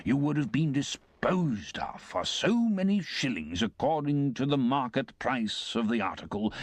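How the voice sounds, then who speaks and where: A man voicing a pompous, self-important middle-aged uncle who lectures slowly and with emphasis. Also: mid-range voice, no echo, pitched above the performer's own voice, natural voice.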